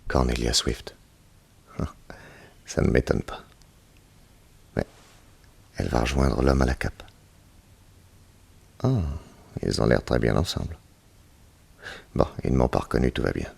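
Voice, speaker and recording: An actor playing a shrewd, protective detective in a French radio serial, brisk, familiar and self-assured. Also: male voice, a bass voice, animated, creaky, darkish tone, slightly rough, full character voice. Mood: watchful, muttering.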